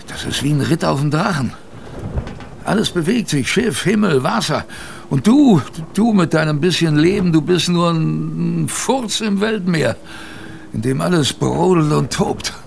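A man's voice: on the high side, creaky, a little hiss or hum. An actor playing a former ship's kitchen boy who reminisces in a colloquial, confiding way as a radio drama narrator. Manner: colloquial, vivid, confiding storytelling.

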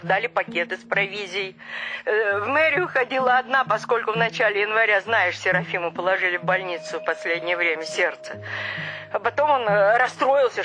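An actress playing a chatty elderly mother who gossips fondly about her husband in a radio play. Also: a little high. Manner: gossipy, animated storytelling. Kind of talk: radio play monologue, phone chat.

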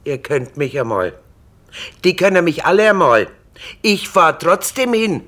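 A woman's voice: lowish voice, very animated, unhurried. An actress playing a grumpy, foul-mouthed, defiant older local policewoman in a radio drama, grumbling and sarcastic. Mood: sullen, defiant.

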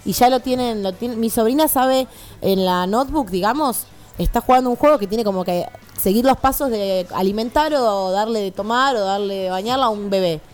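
A woman's voice: fairly high pitch, brisk. A woman with an Argentine accent co-hosting a casual radio chat, explaining things colloquially and often checking for agreement. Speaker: radio show co-host. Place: radio studio. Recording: music playing behind the voice.

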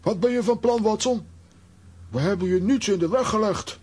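High, blustering comic hero. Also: male voice, normal speed, a little mellow, husky. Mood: indignant, urgent. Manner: indignant, theatrical. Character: blustering, hot-headed comic adult hero. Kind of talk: children's radio play acting.

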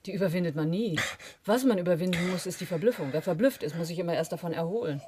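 A woman's voice: on the low side, quick pace, noisy background, natural voice. An actress playing a cool, assured woman smuggler in a crime radio drama, speaking matter-of-factly.

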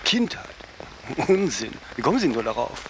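An actor playing an imperious, self-mythologizing older fashion designer in a German radio play, speaking haughtily in aphorisms and tagging sentences with "ne?". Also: male voice, mid-range voice, big pitch swings, normal speed, slight background noise, lightly acted, poor audio. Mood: imperious, dismissive.

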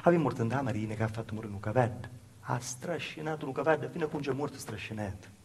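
A male stage actor with a Calabrian accent playing a plaintive, naive southern peasant woman recalling a hard childhood in an intimate, halting, repetitive dialect monologue. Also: medium pitch, darkish tone, slight background noise, natural voice. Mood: plain reminiscing.

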